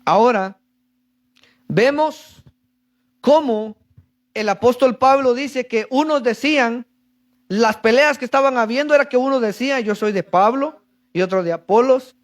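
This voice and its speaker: High-voiced preacher reading scripture. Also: male voice. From Central America. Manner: reads scripture then explains, asks congregation for answers. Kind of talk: sermon.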